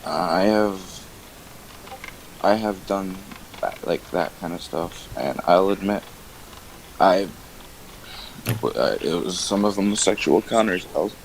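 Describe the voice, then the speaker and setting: A young man in halting, defensive conversation, repeating himself and trailing off, on an archived voice call. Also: low-pitched, gravelly, slight background noise, low-quality recording.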